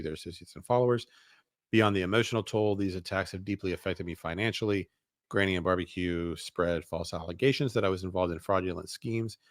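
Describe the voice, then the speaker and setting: A man's voice: low voice, fairly bright tone, no room sound, clean studio sound. A man hosting a show, reading documents aloud and adding casual commentary with hesitant asides. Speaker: show host.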